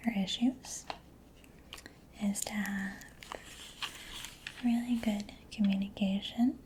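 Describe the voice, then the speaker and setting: Woman, ASMR roleplay, breathy whisper, gentle and reassuring, even intonation, slow delivery. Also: medium pitch, noisy background. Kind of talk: soft-spoken ASMR roleplay as a mechanic examining a client.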